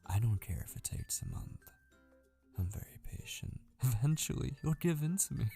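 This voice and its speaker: A man playing an obsessive, possessive kidnapper in an intimate ASMR roleplay, gleefully menacing and patient, slow and teasing, drifting toward whispers. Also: very animated, warm tone, faint music behind. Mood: patient, threatening. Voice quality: low, creaky.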